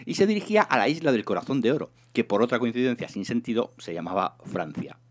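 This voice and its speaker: A man reading a humorous science fiction novel aloud in an expressive, theatrical narration. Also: lowish voice. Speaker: reader of a serialized humorous sci-fi novel.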